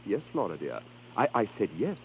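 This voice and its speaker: Flustered, stammering husband, tinny old radio. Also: male voice, fairly low pitch, wildly swooping pitch, moderate pace. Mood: flustered, exasperated. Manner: stammering, earnest, hesitant. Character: flustered, lovelorn estranged husband. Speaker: actor in radio drama. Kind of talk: 1930s radio acting. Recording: scratchy, tinny 1930s radio recording.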